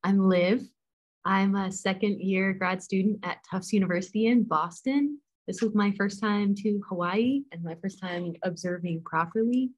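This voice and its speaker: A woman's voice: medium pitch, normal speed, rough recording. A tired woman in her twenties, a grad student introducing herself shyly in a control room. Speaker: graduate student. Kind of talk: casual self-introduction.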